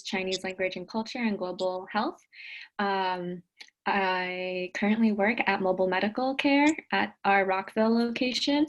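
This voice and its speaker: A young woman in her twenties from Massachusetts, a volunteer introducing herself in a brief, composed way. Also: medium pitch. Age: 20s. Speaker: service corps volunteer.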